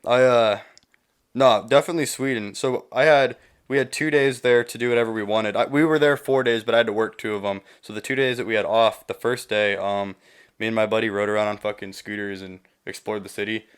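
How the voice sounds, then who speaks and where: Smooth-voiced young American man swapping stories. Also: lowish voice, steady tone, a little fast. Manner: profane, joking, slangy. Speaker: podcast guest. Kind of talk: casual chat, rambling storytelling.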